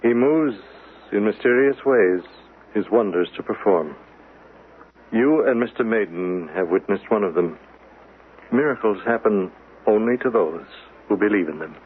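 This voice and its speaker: Man acting a grave, low-voiced reverend. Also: natural voice. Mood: solemn. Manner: solemn, deliberate. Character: grave, questioning, pious reverend. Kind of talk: radio mystery drama acting. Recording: muffled, noisy old radio broadcast.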